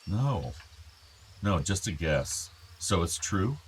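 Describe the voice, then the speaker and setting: A deep-voiced, regretful older father. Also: sing-song, takes it slowly, husky, full character voice. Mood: wistful, concerned. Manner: apologetic, hesitant. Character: regretful, meek father of two grown sons. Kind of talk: naturalistic radio play acting.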